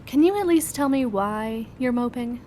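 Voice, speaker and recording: A woman's voice: fairly high pitch, animated, normal speed, warm tone, a little hiss or hum, close to an everyday voice. A woman playing an informal, teasing, inquisitive doctor at a school in an audio drama, speaking casually.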